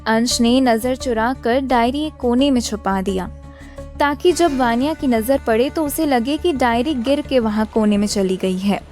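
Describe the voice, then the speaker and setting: Woman narrator for an audio story, highish pitch, bit of music in the background. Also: unhurried, a little mellow. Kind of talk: scripted audio drama narration.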